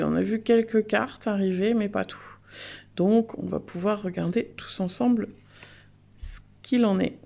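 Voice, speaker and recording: Female unboxing host, mid-pitched, mellow with some vocal fry, casual and enthusiastic. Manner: chatty, enthusiastic. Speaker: host of a card unboxing video.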